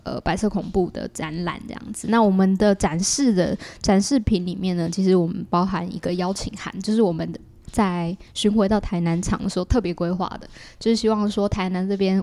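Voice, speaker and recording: Mellow-voiced Taiwanese woman explaining. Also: medium pitch. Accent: Taiwanese Mandarin. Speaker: guest artist. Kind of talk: casual explanatory chat.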